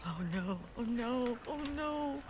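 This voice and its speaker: A woman's voice: fairly high pitch, expressive, slow, background noise. An actress voicing a frightened young woman hiding from a killer while searching for her son, in an anxious, pleading manner. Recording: game audio with music behind.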